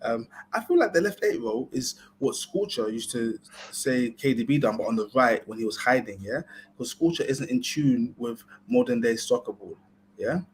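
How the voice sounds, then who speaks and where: A man's voice: mid-range voice, expressive, normal speed, a little hiss or hum, low-quality recording. Man punctuating every point with "yeah?". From family partly Ghanaian. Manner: talks in rhetorical questions ending in "yeah?". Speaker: co-host of football podcast. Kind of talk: casual football chat on a live stream.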